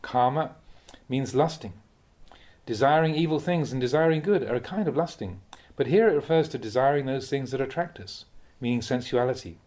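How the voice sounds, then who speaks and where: Measured man reading a Buddhist talk. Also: mid-range voice, animated, darkish tone. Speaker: Buddhist monk reading translated teachings aloud. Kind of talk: audiobook-style reading of a dharma talk.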